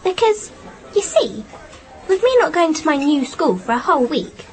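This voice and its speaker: A woman's voice: high-pitched, sing-song, moderate pace, rough recording. A young female performer in a radio drama playing an earnest, frank little English schoolgirl. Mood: earnest, puzzled.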